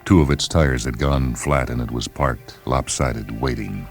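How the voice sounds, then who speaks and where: A man's voice: extremely low pitch, unhurried, soft background music. A man reading a scripted story aloud as a dramatic narrator.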